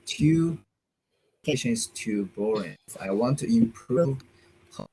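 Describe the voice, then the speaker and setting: A man from China, speaking halting beginner English over a video call, hesitant with many restarts. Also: mid-range voice, expressive, warm tone, slight background noise, poor audio. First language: Chinese. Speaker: English learner. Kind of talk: halting beginner conversation in a lesson.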